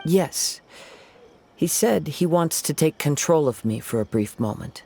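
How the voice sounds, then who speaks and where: An actor voicing a calm, courteous young male wandering samurai in a video game dub, measured and polite. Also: on the high side, gravelly, soft background music.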